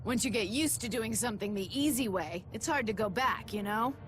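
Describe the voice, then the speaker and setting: A woman's voice: on the high side, a little fast, over music, lightly acted. An actress playing an outspoken, easily indignant married townswoman in a video game, with a blunt, dramatic way of talking. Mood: reproachful. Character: indignant, outspoken married woman gathering herbs. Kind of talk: video game dialogue acting.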